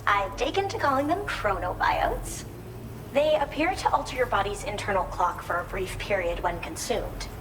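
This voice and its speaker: A woman's voice: medium pitch, background noise, natural voice. A woman playing a friendly, eager researcher character in a video game, chatty and enthusiastic as she explains things. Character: eager, friendly female researcher living in a shelter. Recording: music behind the voice.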